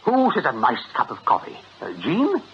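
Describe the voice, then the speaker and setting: A genial, fussy English gang boss in a radio play. His talk is chatty, avuncular and quaintly polite, with lively swings of pitch. The recording is dull, with clear background noise. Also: moderate pace. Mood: genial, pleased. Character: genial, fussy gang leader posing as police detective. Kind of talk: radio drama acting.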